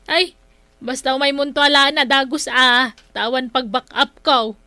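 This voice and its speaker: Comic drama: woman playing demanding village lender, high bright voice, curt delivery, Ilocano accent. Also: steady tone, unhurried, no echo, heavily acted. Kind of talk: comedic radio-style drama acting.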